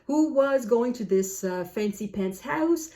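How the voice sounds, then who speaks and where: Mellow-voiced French Canadian woman musing. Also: on the high side, expressive. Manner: thoughtful, unhurried, musing aloud. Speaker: book vlogger. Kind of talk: casual talk to camera.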